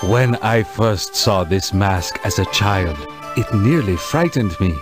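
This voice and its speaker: A man's voice: on the low side, expressive, music in the background. A man playing a kindly, dignified village elder in a children's game, speaking formally and patiently. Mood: gentle, solemn. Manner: measured, formal, ceremonious. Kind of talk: children's educational game voice acting.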